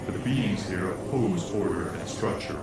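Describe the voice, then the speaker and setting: A man's voice: sits low, a little slow, very noisy. A man playing a grave, commanding disembodied spirit guide in a fantasy video game, speaking as a formal, solemn mentor with a ghostly echo. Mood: solemn, instructive. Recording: echoing, distant voice under loud game music. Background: loud music.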